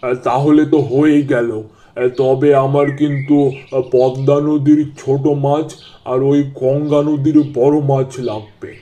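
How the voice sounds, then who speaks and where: A man voicing a confident, well-off gentleman who buys fish, talking in a measured, patronising, reassuring way. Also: mid-range voice, little pitch movement, muffled recording, pitched below the performer's own voice, close to an everyday voice. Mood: persuasive.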